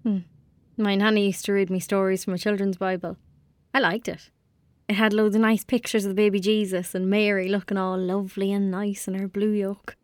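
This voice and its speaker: A woman's voice: mid-range voice, flat delivery, fairly quick, dry recording, natural voice. Earnest young Irish girl, played by a woman. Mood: musing. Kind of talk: naturalistic audio drama acting.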